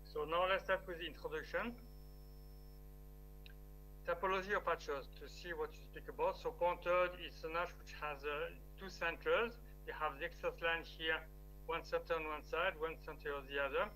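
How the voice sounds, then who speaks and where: A man with a strong French accent, giving an online technical lecture with a steady, explaining manner and the odd restart. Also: on the high side, normal speed, faint music behind, low-quality recording. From France. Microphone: video call.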